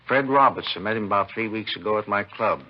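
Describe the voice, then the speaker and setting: Man playing a husky, shaken elderly diamond dealer. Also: fairly low pitch, fast talker, natural voice. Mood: earnest recalling. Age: older. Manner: rambling, shaken, repeats himself. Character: agitated elderly male diamond dealer, robbery victim. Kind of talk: 1950s radio drama acting. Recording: dull 1950s radio recording.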